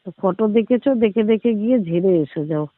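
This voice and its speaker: Tinny high-pitched, fast-talking man on phone. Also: lively intonation. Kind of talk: intimate casual phone chat. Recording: tinny phone-line audio, roomy echo.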